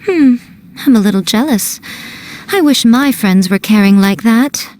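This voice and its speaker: A woman voicing a sly fox-spirit shrine priestess in a game dub, smooth, playful and teasing. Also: on the high side, expressive, a little slow, a little mellow, slight background noise.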